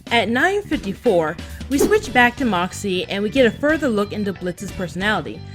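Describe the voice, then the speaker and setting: A giggly woman on a fan recap video, chatting away like she's telling friends about an episode, loud music under her. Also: mid-range voice, very animated, normal speed. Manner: casual and conversational, giggly.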